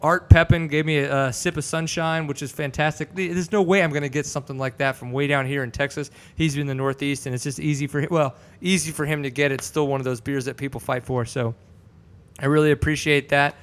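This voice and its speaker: A man's voice: a little high, steady tone, fairly quick, clear, resonant tone. A man in his thirties hosting a casual podcast show, upbeat and swearing casually as he chats and reads sponsors. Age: 30s. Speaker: host. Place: studio set with couch. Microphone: boom mic.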